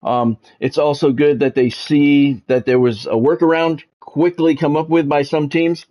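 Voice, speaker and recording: Man chatting through sports news, halting delivery. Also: a little high, flat delivery, mellow. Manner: halting, repeats words and phrases for emphasis. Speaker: livestream host, former radio play-by-play announcer. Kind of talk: casual livestream chat with sports news updates.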